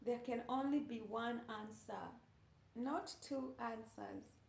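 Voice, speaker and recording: Woman streamer, slow teasing banter, slightly high voice, roomy echo. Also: vocal fry, a little mellow. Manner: playful, teasing, laughs often. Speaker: livestream host. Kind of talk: casual chat with viewers.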